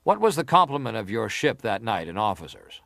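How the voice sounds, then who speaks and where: A man acting a middle-aged senator in a dramatised hearing, putting probing, formal questions in a deliberate, pressing way. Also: fairly low pitch, big pitch swings, vocal fry, fairly bright tone, no echo, clean studio sound, heavily acted. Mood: probing, insistent. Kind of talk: dramatised reading of hearing interrogation.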